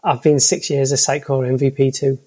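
A man's voice: mid-range voice, level tone, a little fast, dark tone, husky. A man with a Liverpool accent, a guest in a casual interview, talking hesitantly with many ums and restarts. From Liverpool, England. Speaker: podcast guest, senior consultant.

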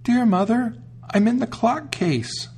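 A man voicing frightened young goat kids in a fairy tale, high and pleading. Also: lively intonation, unhurried, darkish tone, breathy edge, heavily acted. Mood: timid.

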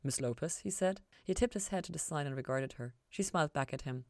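A woman narrates fan fiction like an audiobook. Her voice is notably low in pitch, and she reads scripted prose in a dry recording with little room sound. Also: brisk, no echo. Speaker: podcast host reading fan fiction.

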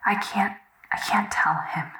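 Hushed, slow, haunted young woman. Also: mid-range voice, echoey room, low-quality recording. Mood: secretive, anxious. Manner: hushed, often whispering, hesitant. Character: troubled, secretive, fearful young woman keeping audio diary. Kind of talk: intimate confessional audio drama monologue.

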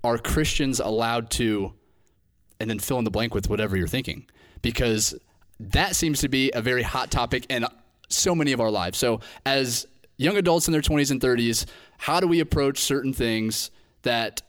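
A man's voice: medium pitch, moderate pace, fairly bright tone. A young man hosting a casual podcast, joking around and correcting himself as he introduces the show. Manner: jokey, rambling, self-correcting. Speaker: podcast host.

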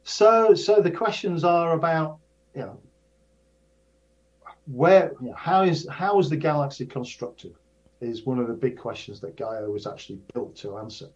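An older man, a professor of astrophysics, explaining research in a measured way, often restarting and repeating his words. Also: fairly high pitch, expressive. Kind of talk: casual explanatory interview. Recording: dull lo-fi sound.

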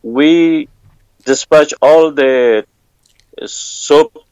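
A man with an Afghan accent talks very slowly, pausing often to find his English words. His voice is smooth and clear, recorded close and dry with no room sound. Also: a little high, darkish tone, dry recording, dull audio. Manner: hesitant, searching for English words. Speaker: security worker, guest. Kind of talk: halting interview answers. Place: Kabul.

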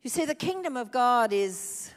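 A woman's voice: fairly high pitch, expressive, normal speed. A woman pastor preaching a sermon, emphatic, repeating her phrases for effect. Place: church hall. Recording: long hall reverb.

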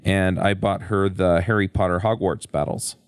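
Low-voiced male podcast host, dry close sound. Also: steady tone, normal speed, clean tone, no room sound. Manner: conversational, informal. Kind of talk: casual podcast chat.

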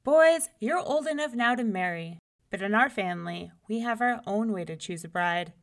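A woman's voice: mid-range voice, expressive, moderate pace, close to an everyday voice. Woman playing a firm storybook farmer father. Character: traditional, firm farmer father of grown sons. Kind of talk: children's storybook character voice.

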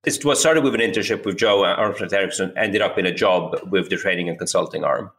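A middle-aged man from Italy speaks with a low voice and an English accent that blends British with American and Swedish traces. He tells his career story as a guest in an unhurried, thoughtful way, repeating words and scattering "you know" through his sentences. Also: a bit bright. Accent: mixed British, American and Swedish English. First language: Italian. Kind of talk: casual interview, recounting his career.

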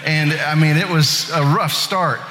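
A man's voice: fairly high pitch, steady tone, a little slow, pushed and bright, a little hiss or hum. A man in his late thirties from Indiana, a pastor telling a folksy, self-deprecating story in a casual sermon. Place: church sanctuary. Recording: church hall with long reverb.

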